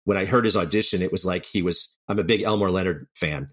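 A man's voice: low voice, fast, slightly rough. A man answering as an interview guest in a conversational, reflective way, repeating words as he thinks aloud. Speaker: writer and creator, interview guest.

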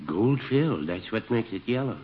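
An actor playing a meek, mild older townsman with understated deadpan delivery in a radio comedy. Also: lowish voice, normal speed, close to an everyday voice. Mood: dry, mild. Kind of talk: 1940s radio comedy acting. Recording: dull, very noisy old radio broadcast.

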